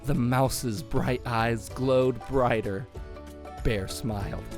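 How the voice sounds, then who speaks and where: A man reading a children's storybook aloud with expressive, animated narration. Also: medium pitch, drawn-out words, music in the background.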